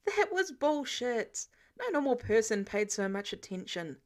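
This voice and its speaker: A woman's voice: on the high side, very animated, measured pace. A woman reading scripted fiction narration as an audiobook narrator. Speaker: podfic narrator.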